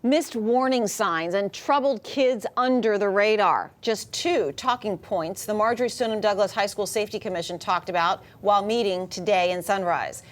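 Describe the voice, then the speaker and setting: A woman's voice: medium pitch, normal speed, few pauses. A woman with an American accent reading scripted news as a television anchor.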